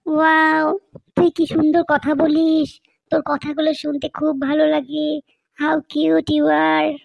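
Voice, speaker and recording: A woman's voice: high-pitched, completely flat delivery, unhurried, vocal fry, no echo, exaggerated voice, low-quality recording. A woman voicing a chatty, cheeky new schoolchild in a comedy cartoon, teasing and eager. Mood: admiring, eager.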